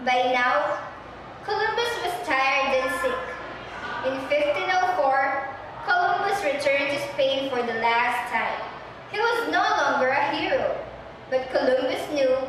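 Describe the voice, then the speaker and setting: A young woman with a high voice narrates a scripted stage reading. She is recorded from far off in a very echoey hall, with noise around her. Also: expressive, a little slow. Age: young adult. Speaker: narrator in a student readers' theater. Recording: distant mic, very echoey hall, noisy.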